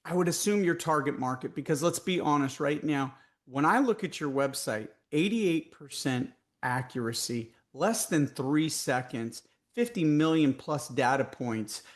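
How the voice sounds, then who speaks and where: A man's voice: fairly high pitch, animated, measured pace. A man with a Texas accent hosting a podcast interview, asking long conversational questions. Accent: Texan. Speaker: podcast host.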